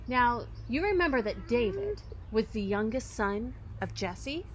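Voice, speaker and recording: A woman reading a children's story aloud expressively, with dramatic emphasis, pauses and occasional hushed phrasing. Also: on the high side, big pitch swings, slight background noise. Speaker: storybook reader.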